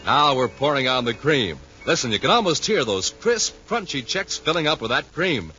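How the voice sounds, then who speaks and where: A man announcing and pitching enthusiastically in showy 1950s radio style, on an old recording. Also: very animated, normal speed, bright, forceful tone, dull audio, soft background music.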